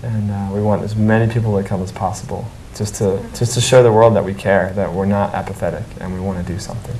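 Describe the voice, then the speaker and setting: A man's voice: steady tone, fast, dark tone. Man speaking evenly in an echoey room. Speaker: charity street team member, interviewee. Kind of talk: interview answers. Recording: roomy echo, faint background noise, rumble.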